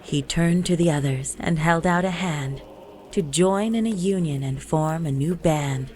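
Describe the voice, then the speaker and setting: A woman narrating a rhymed Christmas story in verse with a measured, lilting delivery. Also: deep voice, darkish tone, a little hiss or hum. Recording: music bed under the voice. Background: music.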